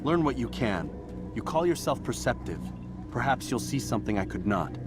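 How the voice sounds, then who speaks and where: A man's voice: mid-range voice, very animated, moderate pace, loud music behind the voice, close to an everyday voice. A man playing a grim, determined assassin warrior in a video game, speaking in a terse and resolute way. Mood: resolute, urgent. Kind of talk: dramatic game cutscene acting.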